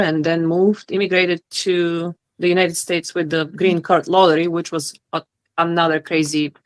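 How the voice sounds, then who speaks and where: A woman with a Bulgarian accent, speaking in a lowish register on a remote call. She talks earnestly and hesitantly, with plenty of ums and pauses as she picks her words. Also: low-quality recording. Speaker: guest, knife handle maker. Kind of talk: casual interview chat.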